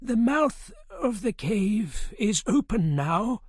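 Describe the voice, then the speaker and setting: A narrator voicing an old male wizard who speaks slowly and solemnly, with a higher lifted tone and drawn-out words. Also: very animated, a little mellow, breathy edge, dry recording, studio quality, exaggerated voice. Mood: explaining. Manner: slow, drawn-out solemn speech. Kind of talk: audiobook character voice.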